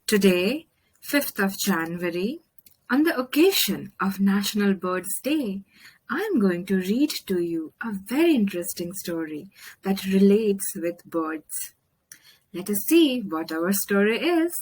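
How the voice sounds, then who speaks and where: A woman with an Indian accent reading a children's story aloud, expressively and deliberately, with dramatic pauses. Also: mid-range voice, sing-song, a little mellow, slight background noise. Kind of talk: children's picture book read-aloud.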